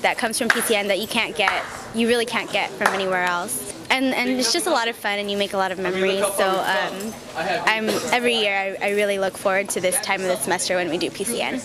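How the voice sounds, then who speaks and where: A woman's voice: medium pitch, fast talker. A young woman speaking casually in an interview as a student club president and performer. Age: young adult. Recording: loud crowd chatter behind.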